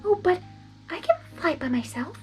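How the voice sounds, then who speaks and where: Woman as shy female storybook pony: high, sweet, timid, hesitant stammer, softly pleading. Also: big pitch swings, moderate pace, faint music behind.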